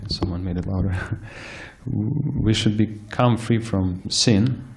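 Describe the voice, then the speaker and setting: A man giving a scripture lecture, soft-spoken and hesitant, with frequent fillers and restarts. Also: lowish voice, takes it slowly, gravelly. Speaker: speaker giving a scripture class. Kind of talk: sermon-style lecture. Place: temple hall with live audience.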